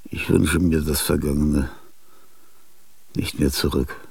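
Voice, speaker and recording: An older man acting in a radio play, with a husky, gravelly voice and a halting, dreamlike monologue that slips into whispers. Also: very deep voice, fairly even intonation, dark tone, dry recording, recorded from a distance. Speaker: radio drama actor.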